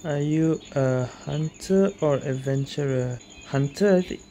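A man's voice: fairly high pitch, a little slow, mellow, a little hiss or hum. A man giving casual commentary as he plays through a video game. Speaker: gaming streamer. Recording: game music behind voice.

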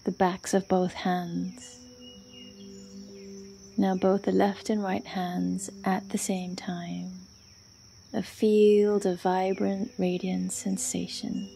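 A woman leading a guided yoga nidra meditation as an instructor, speaking softly and soothingly in short phrases with long pauses. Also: mid-range voice, flat delivery, gravelly, faint music behind.

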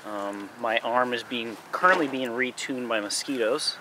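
A man's voice: medium pitch, moderate pace. A man casually joking and commenting while filming model planes at a grassy field at dawn. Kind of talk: casual chat. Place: outdoor grass airfield at dawn. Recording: outdoor camera audio with noticeable background noise.

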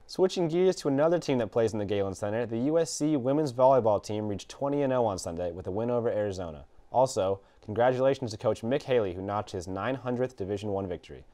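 Young male anchor, rapid delivery, studio desk news read. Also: on the low side, expressive, warm tone. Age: young adult.

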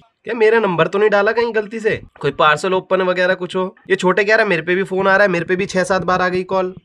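A man's voice: high-pitched, fairly even intonation, a little fast, a bit bright. A man in his twenties chatting casually, as if on a phone call. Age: 20s. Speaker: vlogger pulling a prank. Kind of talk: casual chat and phone talk. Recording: faint noise behind voice.

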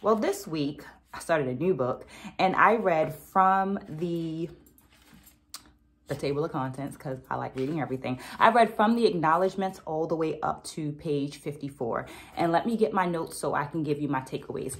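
A middle-aged woman with a fairly low voice presents a book discussion to camera. She speaks as an educator would, articulate and deliberate, laying out her points step by step. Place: small indoor room at home. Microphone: phone camera a short distance away.

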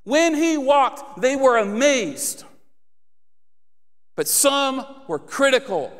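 A man's voice: very high voice, very animated, takes it slowly, a bit bright. A man in his thirties preaching a passionate sermon on a church stage, speaking into a handheld mic and rising to emphatic appeals. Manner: passionate, builds to emphatic exhortation. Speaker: pastor. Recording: long hall reverb.

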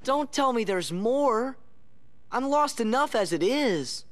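A man's voice: very high voice, animated, takes it slowly, heavily acted. A young man voicing a spirited, impatient teenage boy hero in a video game cutscene. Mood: confused.

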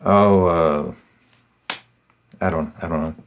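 Low-voiced, downcast middle-aged man. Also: steady tone, slow pace, slight background noise. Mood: awkward, reluctant. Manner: hesitant, subdued. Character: downcast, self-blaming husband and father struggling with money. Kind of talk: naturalistic film drama acting.

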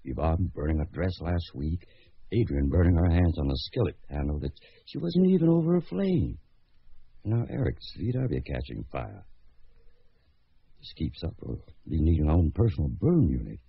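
Flustered family father, animated pitch. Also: moderate pace, gravelly, slightly rough, exaggerated voice. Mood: weary. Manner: flustered, sputtering. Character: frazzled, hard-drinking, skeptical family father. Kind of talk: radio drama acting. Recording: muffled sound with music behind.

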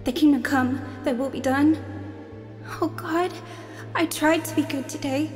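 A woman's voice: high-pitched, a little slow, faint music behind. A woman playing a frightened, devout young girl in a radio drama. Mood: anxious, pleading. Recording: long echoing hall reverb.

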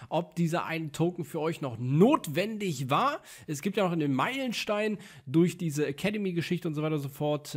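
A young man, slightly sick, chatting casually and upbeat as a gaming video host, with frequent fillers, recorded at his desk indoors. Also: a little high. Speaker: gaming YouTuber. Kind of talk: casual gameplay commentary.

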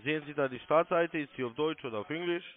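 A man's voice: on the high side, quick pace. A man working as a call centre sales agent, giving insistent, repetitive step-by-step instructions over the telephone. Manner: insistent, repeats himself. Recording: muffled narrow-band phone line.

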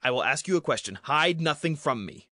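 A man's voice: on the high side, expressive, brisk, pushed and bright, no echo, clean studio sound. An actor playing a fearful, weak-willed ancient king in a dramatized scripture reading. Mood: commanding.

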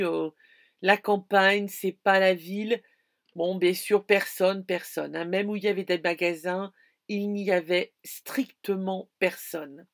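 A middle-aged woman chatting casually to her viewers from inside a camper van, hesitant and full of fillers, filmed close up. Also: medium pitch. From France. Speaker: vlogger. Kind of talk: casual travel vlog. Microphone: phone or camera close to face.

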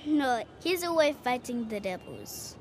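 A woman's voice: sits high, sing-song, a little slow, slight background noise. An actress playing a bold young girl street vendor in a video game, bargaining in a childlike way. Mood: curious, proud. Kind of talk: video game cinematic acting.

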